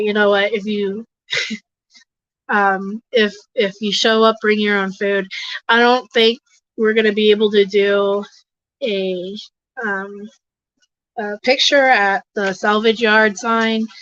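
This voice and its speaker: Hoarse congested woman rambling at her desk, kinda dull dry sound. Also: medium pitch, fairly bright tone, no echo. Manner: rambling, many fillers and restarts. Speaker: host of an advocacy livestream. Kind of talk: casual livestream chat. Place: home desk.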